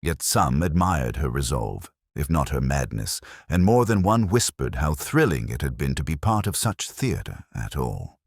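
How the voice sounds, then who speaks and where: A man narrating a scripted mystery story in a measured, literary and dramatic way. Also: very deep voice, sing-song, husky, no room sound, clean studio sound.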